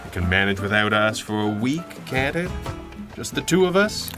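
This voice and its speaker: An actor playing a confident, wry masked crime-fighter in a pulp radio drama, measured and dramatic. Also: male voice, on the low side, sing-song, music in the background. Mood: relieved, wry.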